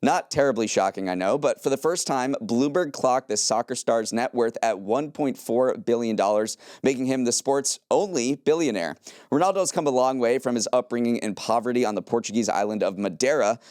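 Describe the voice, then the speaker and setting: A man's voice: mid-range voice, brisk, darkish tone, dry recording. Podcast co-host, male, American accent, mid-range voice, wry scripted news delivery, tight dry recording. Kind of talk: scripted news recap with light banter.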